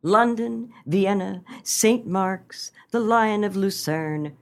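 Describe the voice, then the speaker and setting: A woman playing an excitable, socially ambitious wife in a humorous radio play, with a gushing, theatrical manner. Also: mid-range voice, takes it slowly. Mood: gushing excitement. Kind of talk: humorous stage-style radio play acting.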